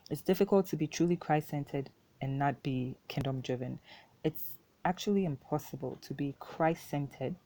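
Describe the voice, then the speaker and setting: Podcast host from ghana, twi native speaker, mellow soft-edged voice, just casually talking faith, repeats stuff to drive it home. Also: mid-range voice. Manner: informal, explanatory, repeats points for emphasis. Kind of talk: casual faith talk, unscripted.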